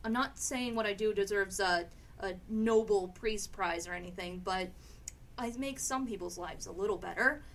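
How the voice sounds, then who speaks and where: A woman's voice: mid-range voice, normal speed, fairly bright tone, lightly acted. A woman playing an indignant, defensive sister in an amateur radio play, scolding and emphatic. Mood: defiant. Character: indignant, defensive sister standing up to bullying brother. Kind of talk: live amateur radio theatre acting. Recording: clearly noisy amateur radio drama recording.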